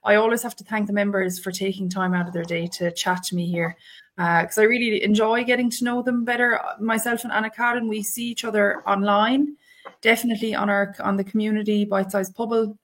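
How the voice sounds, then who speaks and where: A woman's voice: mid-range voice, steady tone, a little fast. Woman, interview host, upbeat, enthusiastic. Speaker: community manager and host. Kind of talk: friendly interview hosting.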